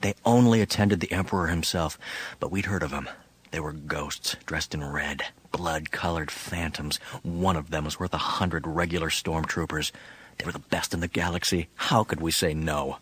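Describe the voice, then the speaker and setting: An actor playing a grim, determined elite soldier in a science fiction audio drama, brooding and measured. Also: male voice, very deep voice, fairly even intonation, slightly rough, full character voice. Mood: solemn narration. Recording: loud music under the voice.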